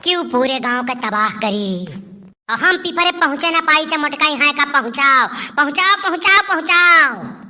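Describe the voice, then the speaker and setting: A woman acts out a vengeful spirit speaking through a hefty village woman in a cartoon. The voice is high and piercingly bright. It threatens and orders, hammering each phrase again and again. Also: animated, normal speed, exaggerated voice. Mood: commanding. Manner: threatening, chanting repeated phrases. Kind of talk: village comedy cartoon dialogue.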